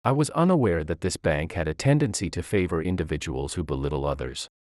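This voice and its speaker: A man's voice: low-pitched, lively intonation, quick pace, a little mellow, dry recording, clean studio sound. A man voicing a firm, composed chief executive in a narrated story. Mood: cold, firm. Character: stern, composed male CEO delivering judgement.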